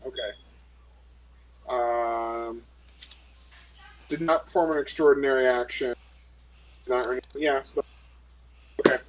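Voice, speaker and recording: A man's voice: medium pitch, steady tone, takes it slowly, long pauses, slight background noise, poor audio. A man playing in a tabletop role-playing game, thinking aloud hesitantly with many fillers. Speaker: player. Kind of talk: casual game chat.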